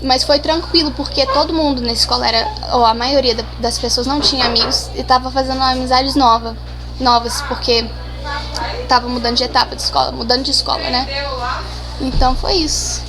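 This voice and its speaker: A woman's voice: high-pitched, steady tone, fairly quick, rough recording. A seventeen-year-old girl from Brazil, answering interview questions casually, shy and hesitant with many restarts. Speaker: interview guest.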